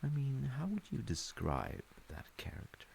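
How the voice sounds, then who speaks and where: A man playing a gentle, thoughtful dad, telling a folktale and chatting reflectively in a measured, intimate way with pauses. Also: medium pitch, huge pitch swings, gravelly, a little hiss or hum. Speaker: storyteller, audio roleplay voice actor playing a dad. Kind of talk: intimate improvised-sounding bedtime storytelling and chat.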